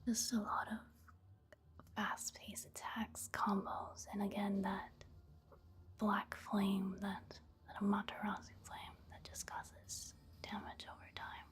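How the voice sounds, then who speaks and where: A woman giving soft-spoken ASMR game commentary in a hushed, breathy whisper, in a gentle, casual, unhurried manner. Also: medium pitch, little pitch movement. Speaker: gaming ASMR video host.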